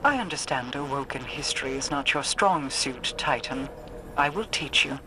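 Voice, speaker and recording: A woman's voice: a bass voice, expressive, moderate pace. Haughty, disdainful ancient queen. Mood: cold disdain. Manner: formal, lecturing, disdainful. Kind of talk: dramatic game dialogue. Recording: clear background ambience and noise.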